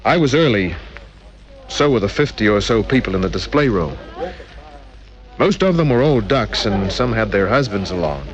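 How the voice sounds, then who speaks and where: An actor playing a wry, easygoing private detective, narrating an old-time radio crime drama in a dry, laconic way. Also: male voice, on the low side, big pitch swings, moderate pace, long pauses. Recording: dull old radio recording, faint music.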